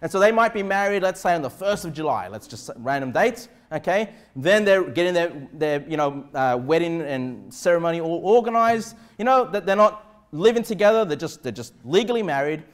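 Aussie guy pastor preaching, high pitched voice, emphatic but chatty, lapel mic at the pulpit. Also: animated, moderate pace. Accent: Australian. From Australia. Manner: emphatic, conversational preaching with check-in tags. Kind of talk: sermon. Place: indoor church pulpit in a small room. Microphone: clip-on lapel mic.

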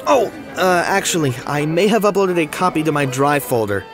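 A woman playing an anxious, flippant teenage schoolboy in an audio drama, stammering and excitable. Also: sits low, normal speed, faint music behind. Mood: flustered, casual. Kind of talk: scripted audio drama acting.

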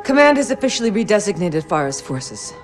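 An actress playing a stern, controlled middle-aged intelligence chief in a military game, clipped and businesslike. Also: female voice, medium pitch, big pitch swings, fairly quick, over music, natural voice. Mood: measured, grim. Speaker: intelligence officer giving briefings. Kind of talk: cinematic military game acting.

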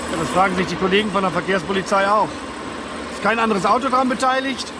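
An actor playing a police officer reporting to colleagues in a crime radio drama. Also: male voice, high voice, fairly even intonation, fast talker, lightly acted. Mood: sober. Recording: clear idling car engine noise behind.

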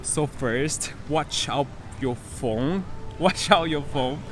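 A man's voice: fairly high pitch, slow, background noise. A young man from Taiwan, chatting loosely with plenty of fillers while filming himself on city streets. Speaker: travel vlogger. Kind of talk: casual travel vlog. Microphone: phone camera at arm's length.

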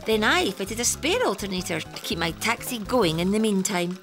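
A woman's voice: mid-range voice, moderate pace, over music, natural voice. A middle-aged woman playing a scatty, affectionate taxi driver in a children's TV show. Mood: recounting. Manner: exclamatory, expressive. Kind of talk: children's TV acting.

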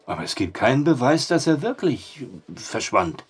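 An actor playing a gruff, sceptical war-veteran barkeeper in an audio drama, blunt, down-to-earth and husky. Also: male voice, mid-range voice, big pitch swings, normal speed, soft background music.

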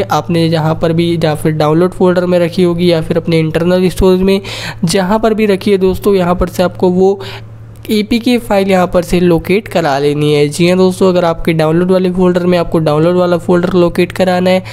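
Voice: mid-range voice, warm tone. An Indian-accented tech tutorial host narrating step-by-step phone instructions, often addressing viewers as friends.

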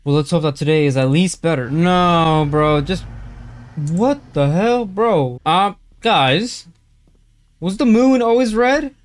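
Young male streamer, slightly high voice, slow nervous delivery, strained throat, dry close headset sound. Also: lively intonation, no room sound. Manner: jumpy, nervous exclamations, keeps calling viewers bro. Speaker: gaming streamer. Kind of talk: horror game playthrough commentary. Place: small room indoors.

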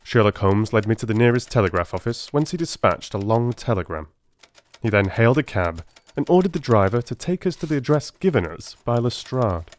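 A man giving a dramatic, deliberate reading of Victorian detective fiction as an audiobook narrator. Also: medium pitch, lively intonation. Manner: theatrical, deliberate.

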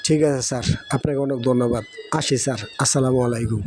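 A man's voice: mid-range voice, steady tone, quick pace, over music, close to an everyday voice. A man voicing an anxious village father in a cartoon, speaking colloquial rural Bangladeshi Bengali with urgency. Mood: pleading, grateful. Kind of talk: amateur cartoon voice acting.

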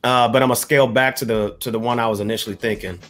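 A man's voice: mid-range voice, fairly even intonation, racing, a bit bright, soft background music. A man co-hosting a casual hip hop podcast, laid-back and saying "uh" and "yep" a lot. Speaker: podcast co-host.

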